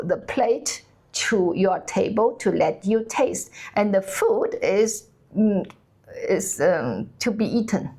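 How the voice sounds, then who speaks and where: A woman whose first language is Chinese, a cook co-hosting a casual chat, talking haltingly as she searches for the right English words. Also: medium pitch, darkish tone. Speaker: cook and channel co-host. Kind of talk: casual conversation.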